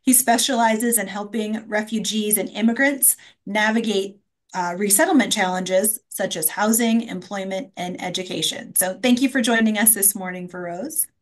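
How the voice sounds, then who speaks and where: A woman moderating a panel discussion at a conference, reading out introductions and housekeeping notes. She pauses often on ums and keeps a steady, middling pitch. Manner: reads introductions with frequent ums.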